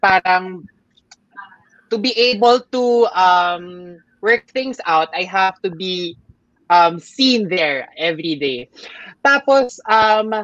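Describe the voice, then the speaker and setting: A woman's voice: fairly low pitch, expressive, slow, fairly bright tone, dry recording. A woman with a Filipino accent, speaking reflectively and hesitantly on a video call panel. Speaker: panel guest, social media creator. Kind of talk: casual panel discussion. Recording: dull dry lo-fi video call audio.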